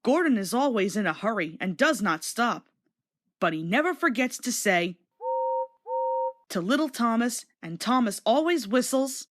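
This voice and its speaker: A woman's voice: fairly high pitch, big pitch swings, normal speed. A woman narrating a children's storybook read-along from a script.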